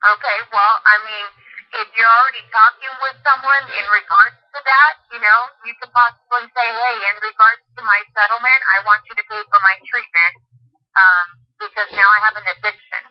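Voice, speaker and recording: A woman's voice: fairly high pitch, steady tone, fairly quick. A woman working intake on a treatment hotline, explaining things over the phone in an earnest, persuasive way. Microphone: telephone. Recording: muffled lo-fi telephone line.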